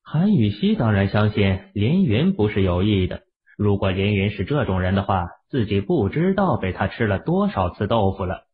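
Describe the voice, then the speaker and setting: A man with a low voice narrates an audio drama, with lots of rise and fall in his storytelling. The sound is tinny and phone-like, as if heard down a phone line. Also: moderate pace. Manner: expressive storytelling.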